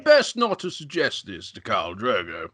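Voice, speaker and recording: A man playing a smooth-tongued, obsequious magister and host in an amateur script table read, speaking with unctuous deference. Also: on the low side, huge pitch swings, measured pace, bright, forceful tone, a little hiss or hum, exaggerated voice. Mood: cautious, deferential.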